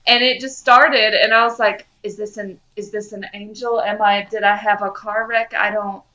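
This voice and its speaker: A woman's voice: medium pitch, fairly even intonation, normal speed, pushed and bright, dull audio. A woman co-hosting a podcast in casual fan chat. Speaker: podcast co-host.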